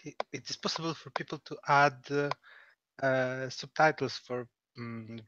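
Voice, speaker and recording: Man from poland, native polish speaker, slow halting speech, muffled call audio with steady noise. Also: medium pitch. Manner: hesitant, searching for words with many pauses. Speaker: caller.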